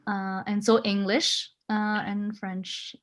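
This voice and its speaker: A woman's voice: mid-range voice, flat delivery, slow. Woman in her forties, laughing often. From Switzerland. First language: Swiss German and German. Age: 40s. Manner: friendly, laughs often, searches for words. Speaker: guest. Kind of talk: casual interview.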